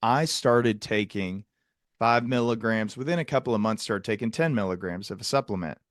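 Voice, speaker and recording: A man hosting a podcast, talking through clinical topics in a halting, thoughtful, conversational way with technical vocabulary. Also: fairly low pitch, no echo, studio quality. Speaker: podcast host, psychiatrist.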